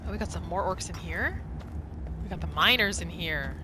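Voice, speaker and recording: Animated woman narrating a roleplay game. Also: fairly low pitch, normal speed, background noise. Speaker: gaming video host. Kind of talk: casual roleplay gameplay commentary. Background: game music.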